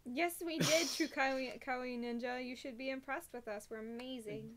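A woman's voice: sits high, steady tone, moderate pace. A young woman casually hosting a group quiz livestream over a voice call, playfully self-deprecating and prone to exclaiming. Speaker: host of an anime quiz livestream. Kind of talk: casual group chat, live stream.

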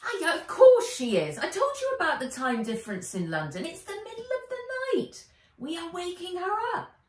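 A woman voicing a bossy, lively schoolgirl in a children's story, brisk, teasing and emphatic. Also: extremely high pitch, voice pushed up. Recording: roomy, distant home camera sound.

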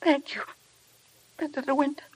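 An actress playing a shy, devoted young bride in 1950s radio drama style, polite, hesitant and self-effacing. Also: female voice, high-pitched, level tone, normal speed, natural voice, low-quality recording. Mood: shy, wistful. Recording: vintage radio broadcast with music.

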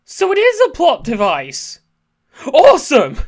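A woman voicing a sassy, excitable cat hero in a comedic fan dub, playful and sarcastic. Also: high-pitched, measured pace, exaggerated voice. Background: faint game music.

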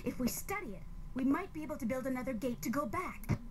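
An actress playing a determined young special agent in a video game cutscene. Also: female voice, high voice, expressive, fast talker. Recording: game audio with clear background noise.

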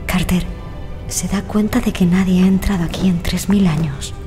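An actress playing a brave young noblewoman full of breathy wonder in an audio drama. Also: on the low side, steady tone, moderate pace, natural voice. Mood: amazed. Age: young adult. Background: music behind the voice.

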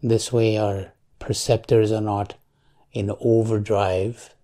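Male meditation guide, mellow tone, slow pace, calm, measured phrasing, frequent long pauses. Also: fairly low pitch.

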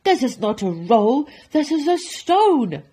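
A woman's voice: medium pitch, big pitch swings, a little slow, mellow, slightly rough, full character voice. A woman voicing an imperious, hot-tempered male duke from a storybook, speaking in a commanding, emphatic manner. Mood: outraged. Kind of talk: storybook character voice.

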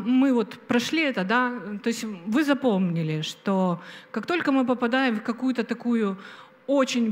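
A middle-aged woman preaching on stage into a handheld microphone, slow and emphatic, with pauses and fillers. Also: on the high side. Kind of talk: sermon. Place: stage of a large hall with an audience. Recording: long hall reverb.

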